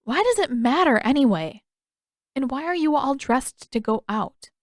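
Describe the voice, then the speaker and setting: A woman's voice: high voice, animated, normal speed, no echo, studio quality, voice pushed up. A woman voicing an eager, innocent young boy in an audiobook story, earnest and full of questions. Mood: curious, eager.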